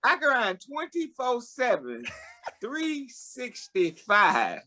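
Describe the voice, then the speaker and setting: A man's voice: extremely high pitch, huge pitch swings, extremely slow, pushed and bright. A man, a guest, bantering in a laid-back, playful way. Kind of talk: joking banter.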